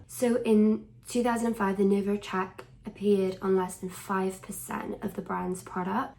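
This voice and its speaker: Frank young woman with gravelly fry. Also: mid-range voice, rough recording. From Wales. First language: Welsh. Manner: frank, conversational, drops to soft near-whisper at times. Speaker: fashion commentator. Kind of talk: casual talking-to-camera commentary.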